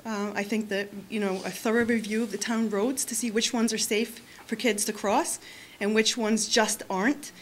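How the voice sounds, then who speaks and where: A woman's voice: medium pitch, steady tone, normal speed, a little hiss or hum, low-quality recording. A woman in her forties giving public comment at a school board meeting from a podium microphone in a large meeting room, earnest and full of restarts. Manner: earnest, many fillers and restarts.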